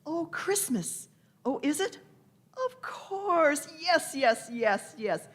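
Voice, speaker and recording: A woman's voice: high voice, sing-song, takes it slowly, heavily acted. A woman playing an eccentric, merry old lady time traveller in a radio play, with grand old-fashioned phrasing and repeated words. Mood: amused, lofty. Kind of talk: live radio-play acting.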